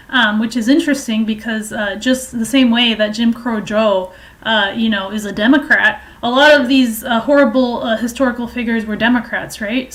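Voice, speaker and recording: A woman giving informal political and historical commentary in a halting, hesitant way, explaining at length. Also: a little high, fairly bright tone.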